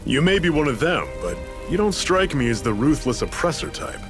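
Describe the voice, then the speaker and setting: Casting: seasoned rebel chief in a game, sardonic, commanding, expressive swings in pitch, light music behind. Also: male voice, a little fast. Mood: measured, probing. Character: seasoned, wry rebel leader, gruff but fair man. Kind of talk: video game cutscene acting.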